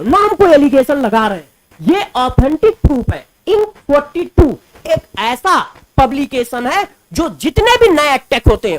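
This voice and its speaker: छात्रों से बात करती एक शिक्षिका जोश के साथ अपनी बात रखती है और ज़ोर देने के लिए आंकड़े दोहराती है।. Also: female voice, high voice, low-quality recording. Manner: emphatic, repeats figures for stress. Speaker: educator speaking to students. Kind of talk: impassioned commentary to camera.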